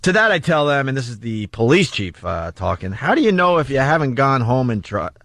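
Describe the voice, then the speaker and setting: American male radio host, mid-pitched. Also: big pitch swings, fairly quick, a bit bright, dry recording. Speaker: radio talk show host. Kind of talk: casual comic radio banter. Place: radio studio.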